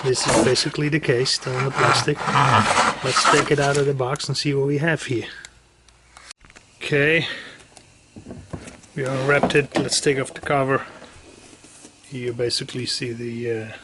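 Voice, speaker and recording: A man giving a casual, unscripted hands-on equipment demonstration, halting and matter-of-fact as he goes. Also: medium pitch, little pitch movement. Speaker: radio hobbyist demonstrating equipment. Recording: faint background noise.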